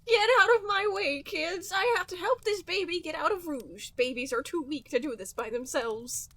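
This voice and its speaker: Woman playing a high-pitched, bossy school nurse. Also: normal speed, pushed and bright. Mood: bossy, urgent. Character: bossy female school nurse in a fan fiction.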